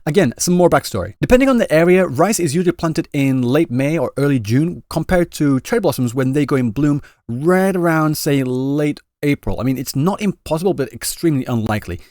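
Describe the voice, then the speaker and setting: A man talking quickly and chattily in a commentary vlog, reading out translated comments and restarting phrases. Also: fairly high pitch, animated, darkish tone, no room sound, clean studio sound. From Britain. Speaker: YouTuber explaining Japanese reactions.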